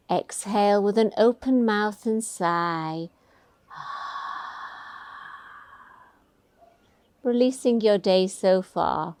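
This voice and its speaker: A woman teaching a guided meditation, giving gentle, soothing, unhurried instructions. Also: medium pitch, smooth, dry recording.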